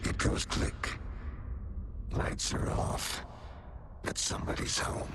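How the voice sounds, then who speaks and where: An eerie whispering presence acted by a woman in a horror game, heard through clear background noise. The delivery is breathy and unvoiced, very slow and ominous, like a chanted verse. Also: natural voice. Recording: noisy ambient game backdrop.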